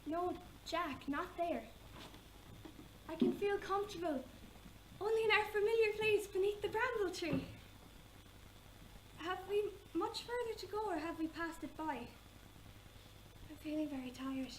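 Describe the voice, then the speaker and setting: An actress playing a young wife driven mad by grief, drifting between dazed murmurs and screams. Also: female voice, extremely high pitch, expressive, unhurried, pauses often, bright, forceful tone, roomy sound, slight background noise, exaggerated voice, rough recording. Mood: dazed, faint. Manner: distraught, wandering, breaking into screams. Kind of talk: stage drama.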